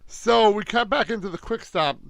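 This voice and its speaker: A man's voice: high-pitched, normal speed, creaky, no echo. A male podcast co-host in a casual recap chat, giggly, often breaking into laughter and swearing.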